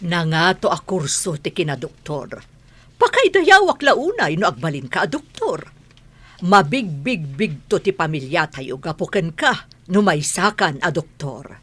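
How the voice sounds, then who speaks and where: A woman's voice: on the low side, big pitch swings, measured pace, a bit bright, husky, full character voice. A woman with an Ilocano accent acting a proud, scolding middle-aged mother in a radio drama, haughty and emphatic. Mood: insistent.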